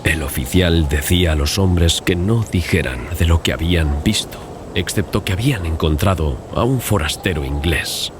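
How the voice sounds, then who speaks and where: A man's voice: low voice, normal speed, background noise. A man reading a scripted first-person story as audiobook narration. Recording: music behind the voice. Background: clear background music.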